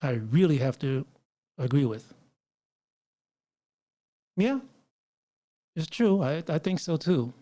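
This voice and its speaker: Rambling New man, mellow voice. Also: a little high, expressive, takes it slowly, long pauses. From United States. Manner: rambling, frequent restarts and asides to viewers. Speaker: livestream host. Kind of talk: casual live stream chat. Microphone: mixer setup with line noise.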